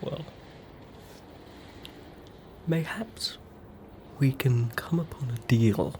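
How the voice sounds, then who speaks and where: A woman's voice: a bass voice, drawn-out words, mellow, slight background noise, heavily acted. A woman with an Australian accent playing a fussy, polite ghost in a whispered ASMR roleplay, with quaint, hesitant wording. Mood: bargaining, wry. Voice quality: breathy whisper. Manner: quaint old-fashioned wording, hesitant, apologetic. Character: fussy, polite female ghost haunting a hospital bed. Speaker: ASMR performer.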